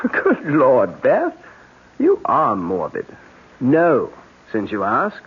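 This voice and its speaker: A man's voice: medium pitch, wildly swooping pitch, extremely slow, husky, exaggerated voice. A man playing a controlling, patronisingly reassuring husband in a 1970s radio drama. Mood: amused, indulgent. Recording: muffled, hissy 1970s radio broadcast.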